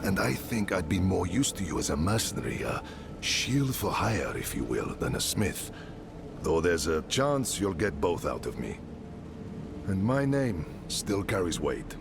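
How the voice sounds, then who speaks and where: A seasoned mercenary captain turned smith, speaking with a very deep, gravelly voice that swings widely in pitch. He is wry and fatherly, with a clear game soundtrack playing behind him. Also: very animated, unhurried, full character voice. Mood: friendly. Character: seasoned middle-aged male mercenary leader and smith, wry. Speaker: game character. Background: game music.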